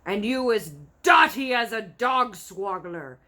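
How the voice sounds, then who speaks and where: A woman voicing a huge, bullying, crude man-eating giant in a storybook, booming out mangled grammar with slow menace. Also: expressive, full character voice. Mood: scornful, loud. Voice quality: booming, forceful shouting.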